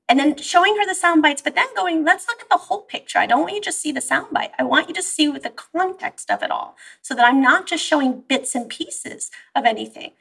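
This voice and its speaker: A woman, born in Iran and raised in the US, telling stories in an animated, conversational way as an interview guest. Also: high-pitched, creaky, far from the mic. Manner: animated storytelling, quoting herself and her mother. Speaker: therapist guest.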